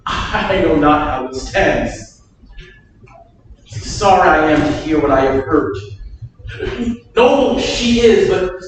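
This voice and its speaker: Actor as a shamed loyal nobleman, slow measured verse, distant mic. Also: fairly high pitch, a little hiss or hum. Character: loyal nobleman wounded by his wife's disgrace. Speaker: actor in a staged reading. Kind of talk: Shakespeare staged reading. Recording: echoey room, far from the mic.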